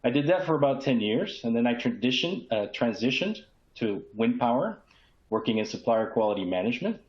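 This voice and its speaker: A man's voice: mid-range voice, very animated, a little fast, a little mellow. A man hosting a business webinar as a presenter. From San Juan, Puerto Rico. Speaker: host, key account manager. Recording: roomy echo, dull muffled sound.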